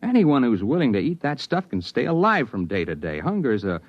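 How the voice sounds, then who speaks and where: A man's voice: mid-range voice, very animated, brisk, no room sound. An American man playing an earnest, stubborn stage actor turned amateur sleuth in a 1950s radio drama. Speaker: first-person narrator and lead character. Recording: dull, lo-fi 1950s radio broadcast.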